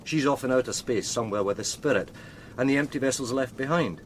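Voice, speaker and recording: An actor playing a measured, deferential male detective explaining evidence to his superior in a radio drama. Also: mid-range voice, slight background noise, natural voice. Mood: measured, matter-of-fact. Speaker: police officer.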